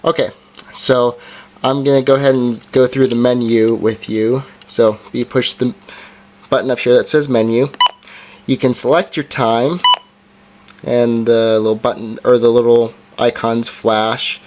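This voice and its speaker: A man giving a casual, halting hands-on gadget demonstration in an unscripted way. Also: medium pitch. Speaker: product reviewer. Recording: noisy amateur home recording, clicks.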